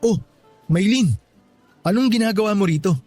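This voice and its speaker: A polite, easygoing young man in a radio drama. His voice sits a little high, with faint music behind him. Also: expressive, moderate pace, a little mellow. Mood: polite, casual. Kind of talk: Tagalog radio drama dialogue.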